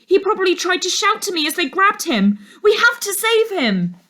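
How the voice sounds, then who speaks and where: A woman voicing an anxious, stubborn young girl in a storybook, excitable and insistent. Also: very high voice, moderate pace, voice pushed up, exaggerated voice. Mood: alarmed, urgent. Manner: excitable, urgent, pleading.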